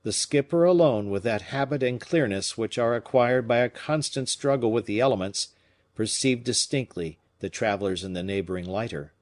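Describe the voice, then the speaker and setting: A man narrating a classic novel as an audiobook reader, measured and expressive. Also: mid-range voice.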